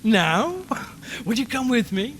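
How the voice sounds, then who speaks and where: A man's voice: extremely high pitch, animated, takes it slowly, fairly bright tone, a little hiss or hum, voice pushed up, full character voice, rough recording. A man playing a radio reporter losing his mind in a haunted house, ranting and muttering brokenly in an audio horror drama. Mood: manic despair. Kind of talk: audio horror drama acting, live broadcast monologue.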